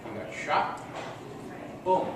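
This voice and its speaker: A man's voice: slow, recorded from a distance. An older man hosting an amateur radio play, chatting informally and in a rambling way to an audience. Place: large echoey room before a live audience. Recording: very echoey noisy hall, off mic.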